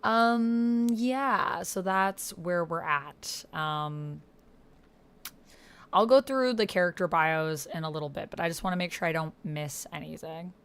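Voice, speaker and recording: A woman with a slightly low, smooth and very clear voice talks casually through an online roleplaying game. She explains and reads aloud in an informal way, as if thinking out loud while she plays. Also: a little slow. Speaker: host and player of an online text roleplaying game. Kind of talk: casual explanatory chat while playing, with some reading aloud.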